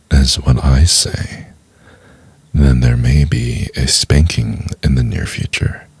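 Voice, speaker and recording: A man playing a domineering, possessive CEO boss in an intimate ASMR roleplay, in a gravelly, breathy half-whisper. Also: rumbling low, a little mellow, dry recording, full character voice. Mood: threatening. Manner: slow, commanding, intimate.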